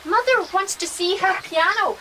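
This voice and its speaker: A woman acting an eager, chatty young girl in a period radio drama, childlike, excitable and outspoken. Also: extremely high pitch, moderate pace, background noise.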